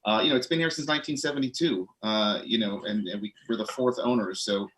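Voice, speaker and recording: A man from Connecticut, a bookstore owner, telling his story in a relaxed interview chat with easy fillers. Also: fairly low pitch, fast, poor audio.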